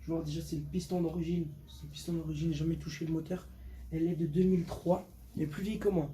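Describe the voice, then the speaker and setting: A man's voice: on the high side, level tone, quick pace, warm tone, low-quality recording. A fifteen-year-old boy from Portugal chatting casually to viewers in a vlog, slangy and repeating words for emphasis. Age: 15, teenager. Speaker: vlogger showing motorbike. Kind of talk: casual unscripted vlog talk. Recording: clear background noise.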